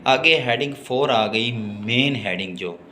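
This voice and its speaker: Slow-paced Pakistani male law lecturer. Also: mid-range voice, sharp tone, a little hiss or hum. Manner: didactic, alternates slow reading with explanation. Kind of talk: explanatory lecture, reading notes aloud and explaining.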